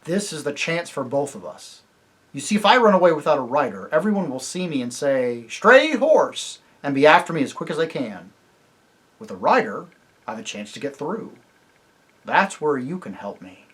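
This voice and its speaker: A man voicing a proud, blunt talking horse in a storybook reading, speaking emphatically with a husky voice. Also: on the high side, big pitch swings, normal speed. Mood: commanding. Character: proud talking male warhorse, blunt and scornful.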